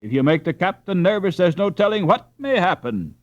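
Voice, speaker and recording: An eager young lad, put on by a male narrator, exclaims in a high, excited voice. He is cheeky and mocking, in lively storybook style, with a close dry sound. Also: brisk, no echo, muffled recording. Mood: teasing, bossy.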